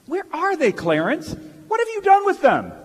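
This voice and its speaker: A man's voice: very high-pitched, wildly swooping pitch, normal speed, heavily acted. An actor playing a bewildered, agitated family man in a stage radio play, insistent and stammering. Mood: distraught. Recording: reverberant hall stage recording.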